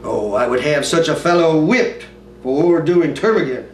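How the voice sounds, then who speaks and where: A man's voice: on the high side, lively intonation, normal speed, pitched below the performer's own voice, natural voice. Roomy, theatrical male actor as earnest Hamlet. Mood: earnest, impassioned. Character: theatrical Hamlet, earnest brooding prince reciting Shakespeare. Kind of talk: dramatic Shakespearean stage monologue. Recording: roomy, distant mic, faint noise.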